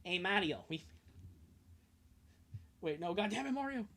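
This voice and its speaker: A man's voice: high voice, expressive, a little slow, creaky, fairly bright tone. A man hosting a livestream, chatting casually with viewers in a jokey, exclamatory way. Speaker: livestream host.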